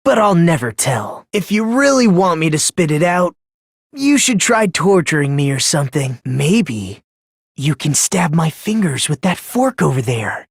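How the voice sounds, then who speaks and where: A frail, unhinged teenage boy from a video game, with a breathy, husky voice in a dry recording. He is polite and self-deprecating, speaking with theatrical fervor about his obsession with hope. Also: medium pitch, sing-song, measured pace, dry recording, heavily acted. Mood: menacing, calm. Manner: polite, theatrical, swinging from gentle to fervent. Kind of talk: English video game voice acting.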